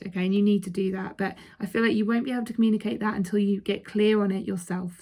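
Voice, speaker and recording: A woman with a croaky throat talks viewers through a card reading in a mellow, relaxed tone. Her delivery is casual and chatty, with frequent "okay?" check-ins. Her pitch sits in an ordinary middle range. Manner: chatty, checks in with "okay?", laughs between points. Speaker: intuitive card reader.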